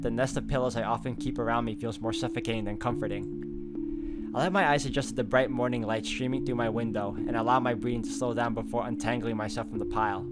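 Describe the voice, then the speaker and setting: Guy doing a visual novel let's play, storyteller narration w casual comments, loud guitar music behind. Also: medium pitch. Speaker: gaming YouTuber. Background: guitar game music.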